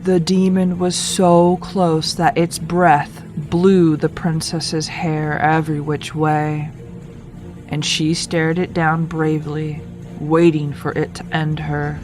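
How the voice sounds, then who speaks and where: A woman narrating a children's folktale as a read-aloud, with expressive, deliberate delivery. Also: low voice, faint music behind, low-quality recording. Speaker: storyteller.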